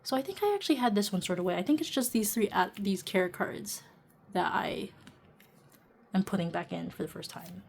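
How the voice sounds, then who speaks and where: A woman's voice: mid-range voice, animated, brisk, vocal fry, slight background noise. Giggly chatty young woman vlogger. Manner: chatty, giggly, lots of fillers; talking softly while seated. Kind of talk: casual chatty vlog narration. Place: indoors at home, seated.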